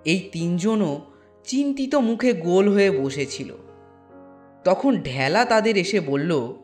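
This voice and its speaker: A male narrator with a notably high voice tells a scripted spooky tale. He takes his time, phrase by phrase, over a music bed. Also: animated, takes it slowly, a little mellow. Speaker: story narrator. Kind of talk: scripted horror story narration.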